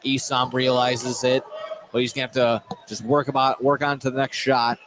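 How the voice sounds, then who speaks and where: A man's voice: mid-range voice, level tone, normal speed. A man doing live radio play-by-play of a basketball game, often restarting and repeating words for emphasis. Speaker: play-by-play sports announcer. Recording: loud gym noise behind. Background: loud game ambience.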